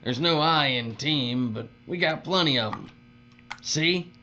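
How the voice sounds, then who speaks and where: A bright-voiced, smug, bullying foreman. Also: on the high side, unhurried, highs cut off, faint music behind. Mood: gloating, bullying. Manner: folksy, self-satisfied, needling. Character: smug, bullying, folksy newly promoted foreman. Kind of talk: audio drama acting.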